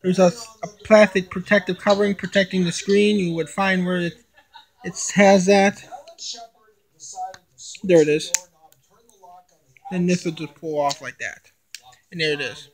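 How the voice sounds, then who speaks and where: A young man giving a casual unboxing demonstration, explaining things in a halting, repetitive way into a handheld camera. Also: high-pitched, steady tone, gravelly, rough recording. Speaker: hobbyist video maker. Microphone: handheld camera, no tripod.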